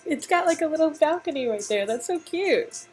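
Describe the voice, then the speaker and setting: Higher-voiced, animated woman bantering. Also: normal speed, faint music behind. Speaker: co-reader of a story game. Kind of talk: casual banter while reading a story.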